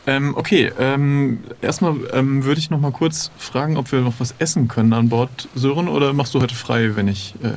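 A man's voice: mid-range voice, moderate pace, hardly any pauses. A man playing an improvised adventure game, speaking casually, politely and with hesitation. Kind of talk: casual improvised role-play.

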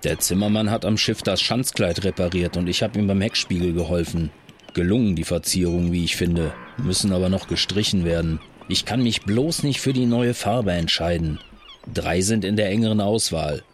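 A man playing a fussy, style-conscious pirate who speaks in a deliberate, florid way in an audio play. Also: low voice, a little hiss or hum, natural voice.

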